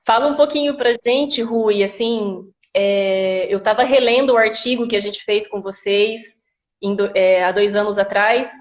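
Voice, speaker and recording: A woman's voice: mid-range voice, fairly even intonation, measured pace. Woman, brazilian portuguese accent, live stream host, muffled lo-fi audio. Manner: warm, rambling, many restarts. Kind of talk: live online interview. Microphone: video call. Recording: dull lo-fi sound.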